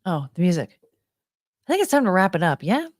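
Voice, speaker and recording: A woman's voice: fairly low pitch, big pitch swings, fairly quick, no echo, clean studio sound. An American woman hosting a live stream, chatting in a rambling, unfiltered way with casual swearing. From United States.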